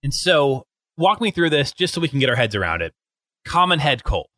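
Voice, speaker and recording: A man's voice: medium pitch, very animated, fairly quick, sharp tone, no echo. A man co-hosting a coaching podcast as producer, talking with upbeat enthusiasm. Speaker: producer and co-host. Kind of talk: casual podcast talk.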